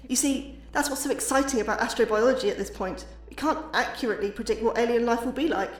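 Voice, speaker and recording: A woman's voice: on the high side, fast, close to an everyday voice. A woman playing an enthusiastic but anxious astrobiologist giving an academic lecture in an audio drama, earnest and slightly awkward. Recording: echoey, distant hall with music.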